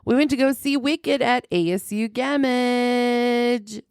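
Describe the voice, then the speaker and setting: A middle-aged woman hosting a casual, joking podcast chat, her voice deepened and congested by a sinus infection, with vocal fry. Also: slow, darkish tone, dry recording, studio quality. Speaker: podcast host.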